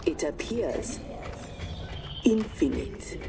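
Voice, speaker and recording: An actress playing an earnest, dryly wry armored fighter in a video game cutscene, with terse delivery. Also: female voice, sits low, very animated, drawn-out words, gravelly, recorded from a distance, noisy background, exaggerated voice.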